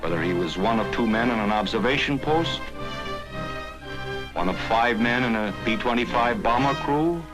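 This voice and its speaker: 1940s American newsreel narrator over music. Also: male voice, mid-range voice, animated, moderate pace, rough recording. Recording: 1940s film soundtrack with prominent music.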